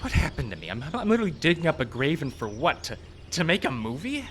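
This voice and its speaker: A man's voice: fairly high pitch, sing-song, fast talker, fairly bright tone. A man playing a hapless, self-doubting filmmaker in a live audio drama, rambling in a jittery, self-mocking way. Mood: shaken. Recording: outdoor live stage, faint background noise.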